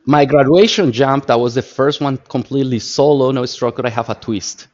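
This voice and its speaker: A man's voice: mid-range voice, brisk, highs cut off. Colombian-accented man telling stories. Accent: Colombian Spanish. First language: Spanish. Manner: animated storyteller, repeats words while searching for phrasing. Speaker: guest. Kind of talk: casual interview talk.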